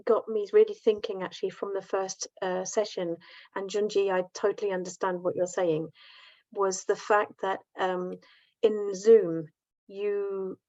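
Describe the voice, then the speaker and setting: A woman's voice: mid-range voice, darkish tone. A woman taking part in an online discussion, thinking aloud with many restarts and laughing easily. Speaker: workshop participant. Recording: dull lo-fi call audio.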